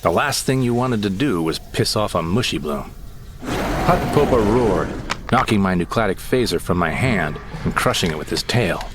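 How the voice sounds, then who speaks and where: Deep-voiced man narrating a comic audio drama. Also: expressive, moderate pace, noisy background. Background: music.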